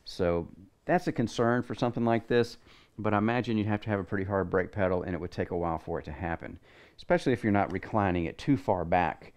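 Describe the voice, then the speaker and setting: A man's voice: fairly low pitch, fast, darkish tone. A middle-aged man giving a casual hands-on product review in his home garage, rambling and thinking aloud as he works.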